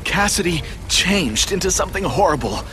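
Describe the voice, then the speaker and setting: A shady corporate scientist in a video game. He explains haltingly and nervously, his slightly high voice rising and falling widely. Dramatic music sits behind him. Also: lively intonation. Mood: shaken, hesitant.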